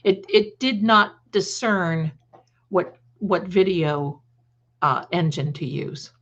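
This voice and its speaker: A woman's voice: on the low side, slow, fairly bright tone, lo-fi sound. A woman hosting a casual live chat, rambling and repeating phrases, with affectionate endearments for her viewers. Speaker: livestream host and creator.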